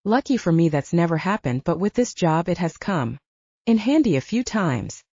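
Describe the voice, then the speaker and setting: A woman as young female werewolf soldier, swooping pitch. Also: big pitch swings, normal speed, warm tone, breathy edge, dry recording, full character voice. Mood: candid, wry. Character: young female werewolf soldier, candid, self-mocking, chatty. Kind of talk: audiobook character dialogue. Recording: dull, lo-fi sound.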